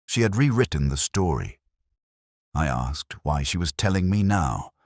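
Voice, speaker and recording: Very deep-voiced, world-weary male detective played by an actor. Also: big pitch swings, no room sound, clean studio sound, heavily acted. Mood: somber, reflective. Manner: measured, solemn, literary phrasing. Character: world-weary, reflective male police inspector narrating his case. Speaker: narrator. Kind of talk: scripted first-person detective audio drama narration.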